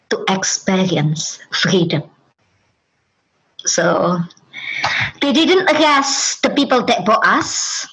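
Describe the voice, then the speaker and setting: A woman with an Indonesian accent, a native Indonesian speaker, giving personal testimony as an interview guest in halting English full of fillers and restarts. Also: medium pitch, a bit bright, poor audio.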